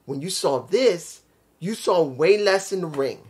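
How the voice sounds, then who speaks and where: A man's voice: sits high, lively intonation, a little slow. A man with a New York accent, reviewing a wrestling show in a casual, rambling, blunt way, often repeating himself. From New York City. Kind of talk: casual solo video review.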